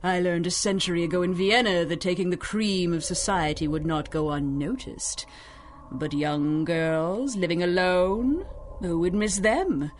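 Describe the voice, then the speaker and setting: An actress playing an ancient, life-stealing sorceress who speaks in a silky, menacing, gloating way, in a 1930s-style radio drama. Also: female voice, lowish voice, unhurried, slight background noise, lightly acted. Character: ancient scheming sorceress woman, over sixty, life-stealing villain.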